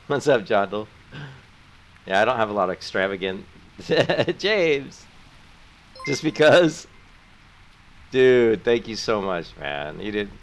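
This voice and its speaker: A man's voice: fairly low pitch, big pitch swings, slow, long pauses. A middle-aged man with a sore throat, chatting casually and rambling to his viewers while streaming a game. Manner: friendly, rambling, thanks viewers. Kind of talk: casual chat while gaming.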